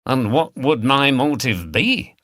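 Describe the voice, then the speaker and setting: A man's voice: medium pitch, lively intonation, takes it slowly, fairly bright tone, no echo, clean studio sound, pitched above the performer's own voice. A man voicing a confident, wry pub joker who teases his companions in an audiobook. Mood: teasing. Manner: teasing, wry.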